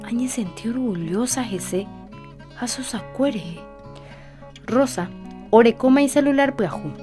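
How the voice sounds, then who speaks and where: Young daughter, proud and quick-talking, faint guitar behind, radio play. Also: female voice, on the high side. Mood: lively. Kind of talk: radio drama acting and narration.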